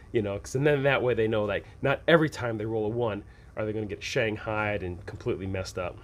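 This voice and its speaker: A man hosting a tabletop game advice show, talking casually and with enthusiasm, slipping into improvised scene descriptions in colloquial, folksy phrasing. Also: medium pitch. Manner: enthusiastic, animated when acting out examples.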